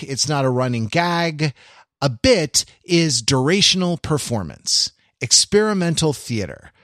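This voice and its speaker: Wry, halting man in a dry recording. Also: fairly high pitch, a bit bright, no room sound. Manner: halting, wry, self-interrupting. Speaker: podcast co-host. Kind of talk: casual discussion, summarizing and quoting an article.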